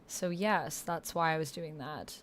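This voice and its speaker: A woman with a slightly low voice talks casually as a livestreamer. She is chatty and informal, thinking out loud as she goes and reading bits of text chat aloud. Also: measured pace. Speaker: streamer and tabletop game player.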